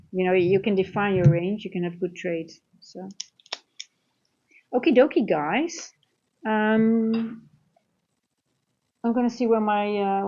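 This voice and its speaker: A woman's voice: medium pitch, darkish tone. A woman from the Netherlands with a Dutch accent, chatting informally as host of a livestream and talking directly to viewers. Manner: informal, conversational, addressing chat directly. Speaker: livestream host, trading coach. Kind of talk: casual livestream chat. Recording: dull lo-fi livestream audio.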